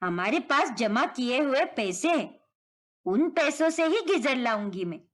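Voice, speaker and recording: A woman's voice: high voice, big pitch swings, measured pace, full character voice. A woman voicing a kindly, remorseful village mother-in-law in a moral story. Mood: apologetic, kind.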